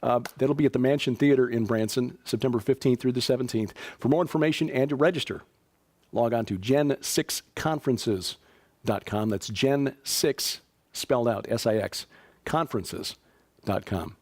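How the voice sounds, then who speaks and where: A man's voice: mid-range voice, moderate pace, darkish tone. A man from Chicago hosting a news show, reading stories and announcements in a conversational way, with fillers and dry asides. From Chicago, USA. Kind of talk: casual news commentary.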